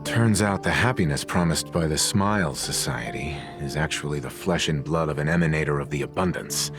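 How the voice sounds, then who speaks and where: A composed male investigator from an anime game speaks in an extremely deep voice. He is grave and measured, with music playing behind him.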